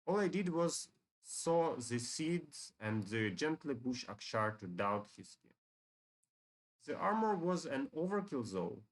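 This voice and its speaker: A gloating necromancer from a fantasy game, read out by a man. He is scheming and taunting, drawing out his grand words slowly with a very mobile pitch. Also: sing-song, poor audio.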